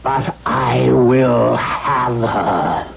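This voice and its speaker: An actor playing a stern, cruel old father in a 1970s radio drama, halting and emphatic, repeating his words. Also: medium pitch, creaky, a little hiss or hum, full character voice. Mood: harsh, outraged. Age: older. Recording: dull 1970s radio broadcast with crowd murmur.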